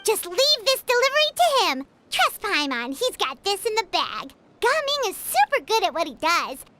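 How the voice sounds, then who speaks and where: A woman's voice: very high voice, very animated, moderate pace, heavy background noise. A woman voicing a tiny, chirpy female companion creature in a video game, who refers to herself in the third person. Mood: reassuring. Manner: third-person self-talk, bubbly. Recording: game audio with loud music behind.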